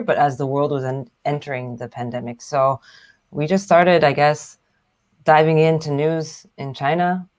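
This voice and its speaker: A woman with a Canadian accent speaks as an easygoing guest on a video chat. Her voice is notably low for a woman. She explains things in a relaxed, conversational way. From Hong Kong, raised in Canada. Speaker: guest, news platform founder. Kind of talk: casual interview talk.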